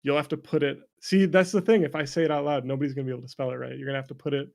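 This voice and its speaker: A man's voice: fairly high pitch, steady tone, very fast, gravelly. A man chatting casually as an interview guest, joking in a playful, mock-sarcastic way.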